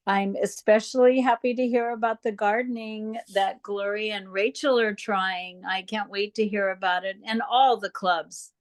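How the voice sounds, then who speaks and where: A woman with a medium-pitched voice offers an informal comment on a group call. Her manner is appreciative and enthusiastic. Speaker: supporter commenting on a donor call.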